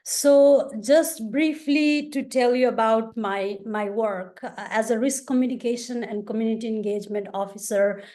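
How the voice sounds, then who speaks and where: A woman from Nepal moderating an online panel, presenting in a measured, explanatory way over a video call. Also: fairly high pitch, warm tone.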